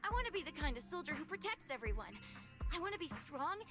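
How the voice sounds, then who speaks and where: A brave, innocent little boy in a video game. The voice is high and childlike, sincere and a little hesitant, over clearly audible music. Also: big pitch swings, fast talker. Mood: earnest, hopeful.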